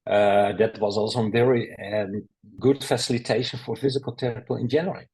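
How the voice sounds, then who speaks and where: A man's voice: medium pitch, steady tone, fairly quick, darkish tone, breathy edge, poor audio. A middle-aged man with a Dutch accent, a guest physical therapist talking in a podcast interview, with frequent hesitations. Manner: hesitant, many "uh" fillers.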